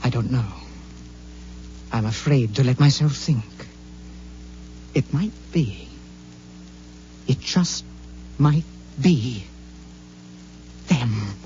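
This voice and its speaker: An actor playing a cold, brooding, paranoid conspirator son, scornful and clipped, in a 1950s radio drama. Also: male voice, mid-range voice, very slow, long pauses, darkish tone. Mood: brooding, fearful. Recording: muffled, hissy 1950s radio recording.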